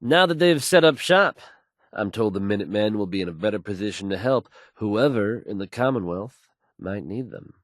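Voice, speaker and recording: A man's voice: lowish voice, animated, normal speed, no echo, clean studio sound. A man playing a self-assured radio newscaster in a video game, fluent and folksy as he announces the news. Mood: assured, serious. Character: confident, smooth, assured male radio newscaster.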